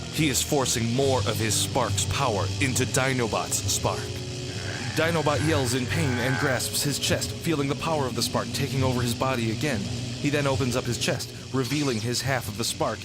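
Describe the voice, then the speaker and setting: A man reading scripted narration for a radio drama. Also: mid-range voice. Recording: loud music under the voice. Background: loud music.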